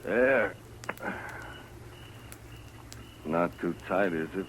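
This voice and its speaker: A man's voice: sits low, a little slow. An American man acting in a 1950s radio western as a cruel, vengeful leader of a lynching, taunting and menacing. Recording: noisy vintage radio drama recording.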